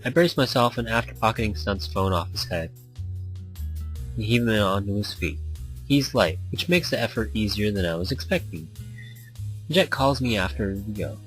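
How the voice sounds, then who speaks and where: A man's voice: fairly low pitch, animated, moderate pace, a bit bright, rough recording. A man reading a visual novel aloud as narrator in an informal way, sometimes stumbling and rereading a line. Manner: informal, stumbles over lines and rereads them. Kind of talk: story read-aloud in a game playthrough. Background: background music.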